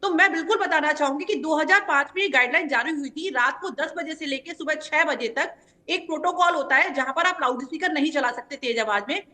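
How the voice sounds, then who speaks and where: A woman spokesperson argues her case on a televised news panel, citing laws and dates one after another. Her voice sits very high and sounds bright and forceful. She stays assertive throughout. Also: a little fast. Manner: assertive, lays out points with legal specifics. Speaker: party spokesperson. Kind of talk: debate argument on a news panel.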